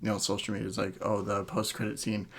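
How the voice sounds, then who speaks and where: A man's voice: lowish voice, flat delivery, quick pace, gravelly, slightly rough. A man in a casual, opinionated podcast chat, sweary and jokingly exasperated, often laughing. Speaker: podcast host.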